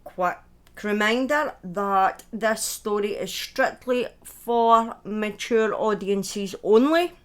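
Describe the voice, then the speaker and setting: A woman's voice: medium pitch, slow pace. A woman chatting informally to viewers as the host of a livestream, chatty and self-deprecating, with the odd swear word. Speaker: live streamer and storytelling host. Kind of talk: casual livestream chat to viewers.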